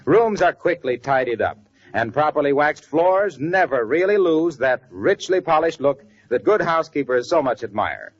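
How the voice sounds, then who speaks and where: A man's voice: fairly high pitch, big pitch swings, normal speed. A male announcer reading scripted 1940s radio copy and sponsor ads in a polished, salesmanlike delivery. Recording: muffled vintage radio recording.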